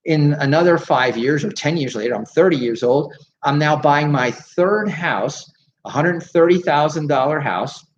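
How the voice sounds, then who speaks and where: Energetic male radio host, slightly muffled. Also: medium pitch, a little hiss or hum. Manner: energetic, salesy, frequent fillers. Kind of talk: live radio talk show. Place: radio studio.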